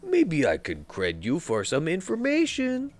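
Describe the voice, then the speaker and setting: A man's voice: fairly high pitch, wildly swooping pitch, a little slow, no echo, pitched above the performer's own voice, heavily acted. A man voicing a tough male street runner who speaks in blunt, clipped broken English. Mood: blunt questioning.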